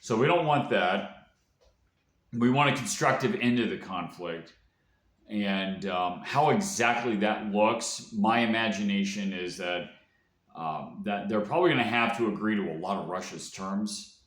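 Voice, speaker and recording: A man giving an unscripted political commentary monologue in a halting, rambling way, as if thinking aloud. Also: fairly low pitch, a bit bright. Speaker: solo commentator. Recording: echoey, reverberant room.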